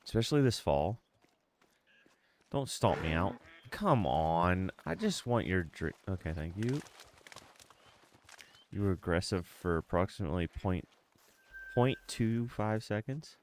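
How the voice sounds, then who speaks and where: A man's voice: low-pitched, big pitch swings, measured pace, long pauses, a little hiss or hum. A congested, sniffly man in his mid thirties chatting casually as he livestreams a game, close on the mic. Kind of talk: casual livestream chat with gameplay commentary. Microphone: close streaming microphone.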